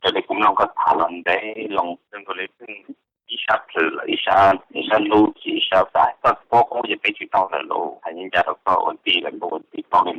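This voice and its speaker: A man's voice: deep voice, a little fast, dry recording. A man speaking conversationally as a participant on a telephone conference call. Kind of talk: conversational speech on a group call. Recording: dull, lo-fi telephone conference line.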